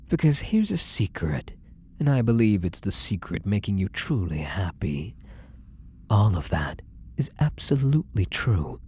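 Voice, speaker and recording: A man playing an obsessively loving, possessive fake psychiatrist in an ASMR roleplay, coaxing tenderly in a soft murmur that slips into whispers. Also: lowish voice, slight background noise.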